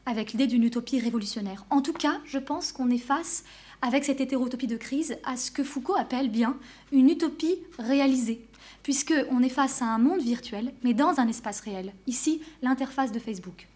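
A woman's voice: sits high, normal speed, a little mellow. A woman researcher presenting at a conference panel, then answering questions with frequent restarts and hesitations. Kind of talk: academic conference talk and Q&A.

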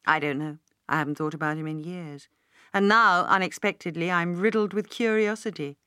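A woman reader plays an easygoing businessman in an audiobook. He sounds inquisitive, casual and gently persuasive. The recording is very dry. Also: lowish voice, moderate pace, clear, resonant tone, no room sound. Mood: curious. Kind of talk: audiobook character dialogue.

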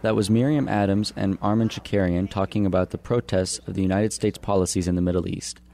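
A young man reads his radio report quickly, with a very low, mellow voice. The sound is dry and close. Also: fairly even intonation, creaky.

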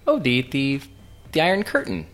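A man playing a proud, envious older brother and mentor in improvised radio theater, halting and self-important as he talks. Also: medium pitch, wildly swooping pitch, a bit bright. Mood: envious, flustered. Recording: music playing over the voice.

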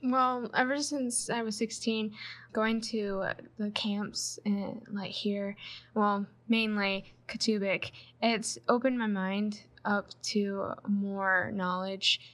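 A young woman in her twenties from Michigan answers interview questions as a student guest. She speaks slowly and hesitantly, leaning on fillers, with a bright voice. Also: mid-range voice, steady tone. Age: 20s. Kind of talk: casual interview.

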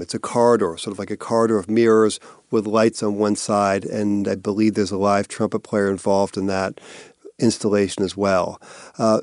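A man's voice: lowish voice, darkish tone, no room sound, clean studio sound. A man from Massachusetts, an arts director, answering interview questions in a thoughtful way, often searching for words and restarting phrases. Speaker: artistic director of an arts institute.

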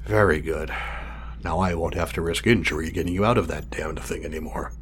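An actor playing a meticulous male scientist in an audio drama, speaking formally and wordily, halting when flustered. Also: very deep voice, a little hiss or hum. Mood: measured, pleased. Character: meticulous, fussy male scientist testing his drone creation.

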